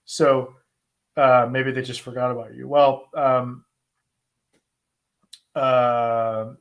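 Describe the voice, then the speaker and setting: A man with an American accent answering viewer questions in an informal, conversational way, thinking aloud with pauses as he explains. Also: medium pitch, level tone, highs cut off. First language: English. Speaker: host, asylum lawyer answering viewer questions. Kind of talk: informal live Q&A, explaining law.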